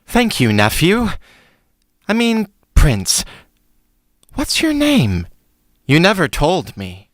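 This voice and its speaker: A man's voice: high voice, wildly swooping pitch, slow, long pauses, no room sound, studio quality, exaggerated voice. A man playing a long-lost, self-absorbed prince who is indignant and pleading, in a fantasy audio drama. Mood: eager, surprised. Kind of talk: stage-style fantasy audio drama acting.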